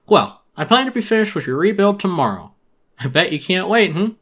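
A man's voice: sits high, sing-song, a little fast, a bit bright, slightly rough, pitched above the performer's own voice, heavily acted. A man voicing a pushy, falsely friendly raccoon shopkeeper in a dramatic reading, wheedling and unctuous. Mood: smug, patronizing. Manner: unctuous, wheedling, tacked-on questioning tags. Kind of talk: dramatic storybook reading of a video game character.

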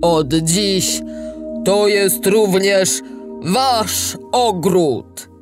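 A woman voicing a male giant in a storybook audio drama, with a drawn-out, emphatic delivery. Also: mid-range voice, over music, voice pushed up. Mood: resolved. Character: gruff, selfish male giant who later softens.

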